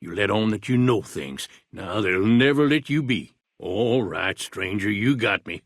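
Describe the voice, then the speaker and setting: Paranoid old wasteland crank, husky voice, folksy drawl, swings between hushed whispers and loud outbursts, close and dry. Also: male voice, fairly low pitch, animated, a little slow, no echo, exaggerated voice. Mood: suspicious. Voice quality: folksy drawl, ungrammatical backwoods talk. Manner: suspicious, conspiratorial, jumps between whispers and outbursts. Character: paranoid rambling old male wasteland eccentric. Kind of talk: video game voice acting.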